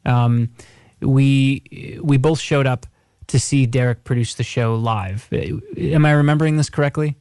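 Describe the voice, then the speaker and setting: A man hosting a radio show, interviewing casually with halting, thoughtful phrasing. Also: medium pitch, a little mellow, clean tone, no room sound, studio quality. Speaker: radio host.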